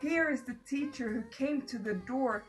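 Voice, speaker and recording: A woman's voice: sits high, normal speed. A woman reading a children's bedtime story aloud. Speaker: story reader. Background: clear music.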